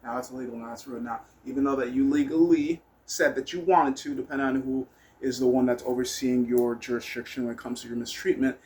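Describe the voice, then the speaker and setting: A man's voice: medium pitch, steady tone, brisk, a little mellow. A man telling personal stories in a casual, rambling monologue, blunt and candid, with some swearing. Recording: noticeable background noise.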